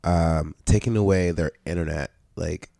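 Deep, mellow-voiced podcast host. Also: male voice, fairly even intonation, gravelly, dry recording, clean studio sound. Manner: incredulous, quick follow-up questions. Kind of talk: interview conversation.

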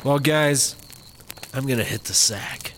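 A man's voice: mid-range voice, slow, fairly bright tone, a little hiss or hum. A man playing a scheming, blustering American ex-cop in a comedic audio drama, with an American accent. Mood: casual, teasing.

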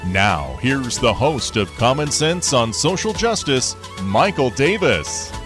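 Male show announcer, very varied intonation, medium pitch, scripted intro over a clear music bed. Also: very animated, takes it slowly.

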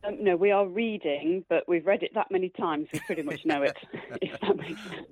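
A woman's voice: fairly low pitch, moderate pace, a little mellow, no room sound. A woman giving cheerful, thoughtful answers as an interview guest, heard over a phone-like line. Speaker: interview guest, stage actor. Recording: narrow, phone-like band.

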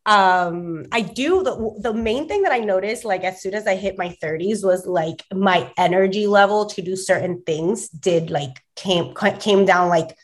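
A woman in her thirties co-hosting a casual podcast, her voice raspy and hoarse from allergies, chatty and full of "like". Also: mid-range voice, a little slow, few pauses. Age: 30s. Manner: chatty, lots of "like", laughs often. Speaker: podcast co-host.

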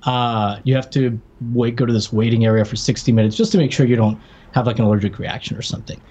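An American man chats as a podcast co-host in a medium-pitched voice. He talks informally, telling anecdotes about himself and acting out the remarks he quotes. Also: fairly even intonation, quick pace, darkish tone, slightly rough, muffled recording. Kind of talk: casual chat, personal anecdote.

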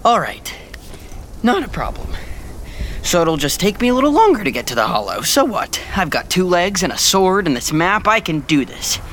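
A man's voice: high voice, a bit bright, slight background noise. A young man acting as an eager, naive seventeen-year-old prince in an audio drama, exclaiming and talking to himself with melodramatic exasperation.

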